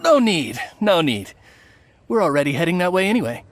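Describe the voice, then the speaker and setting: Animated sly bandit merchant. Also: male voice, high voice, fairly quick, slight background noise. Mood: friendly pitch. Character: smooth-talking male bandit posing as friendly merchant. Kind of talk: video game voice acting.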